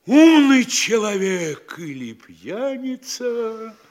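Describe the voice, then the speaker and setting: Bossy mayor, slow, sweeping animated pitch, slightly high. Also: male voice, exaggerated voice. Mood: lecturing. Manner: lecturing, scolding, theatrical. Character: pompous self-important male town mayor, middle-aged. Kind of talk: classic stage radio play acting.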